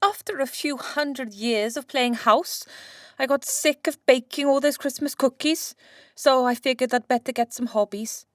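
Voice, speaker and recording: High-voiced, practical Mrs. Claus played by a woman. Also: normal speed, no echo, clean studio sound, lightly acted. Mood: practical, dry. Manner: matter-of-fact, bossy, sighing. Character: centuries-old wife of Santa, practical, exasperated, caring inventor.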